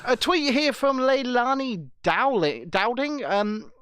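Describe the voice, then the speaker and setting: A man's voice: very high voice, lively intonation, slow pace, fairly bright tone, clear, resonant tone, no echo, clean studio sound. A man co-hosting a casual podcast, speaking earnestly with frequent restarts. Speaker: podcast co-host. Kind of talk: casual chat.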